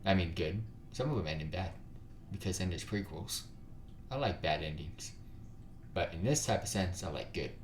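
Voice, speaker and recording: Deep male gamer, casual rambling let's-play commentary, echoey room with faint hiss. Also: animated, moderate pace. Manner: casual, rambling, filler-heavy. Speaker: gaming channel host.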